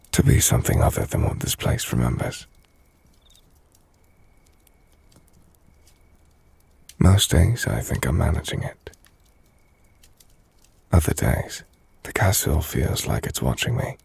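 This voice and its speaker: Gentle, brooding male vampire noble, acted by a man, ASMR roleplay. Breathy, husky, unhurried and measured, close and intimate. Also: extremely low pitch, no room sound, full character voice. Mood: brooding, confiding. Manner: slow, hushed, measured, formal. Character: brooding, gentle, melancholy male vampire-blooded castle lord. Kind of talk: ASMR audio roleplay, intimate scripted monologue.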